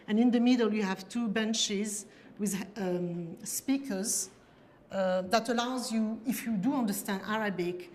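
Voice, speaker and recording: A woman's voice: medium pitch, darkish tone, a little hiss or hum. Middle-aged woman, artist lecturing on her pieces; searching, hesitant delivery. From France. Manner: searching and hesitant, many "you know" tags. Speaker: artist presenting her work. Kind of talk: lecture about her artworks.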